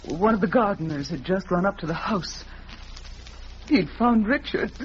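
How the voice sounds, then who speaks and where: A woman's voice: mid-range voice, expressive, moderate pace, close to an everyday voice. A woman portrays a young widow, genteel and reluctant, with a subdued, hesitant delivery. The style is 1940s radio drama. The recording is dull with faint background noise. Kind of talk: 1940s radio mystery acting.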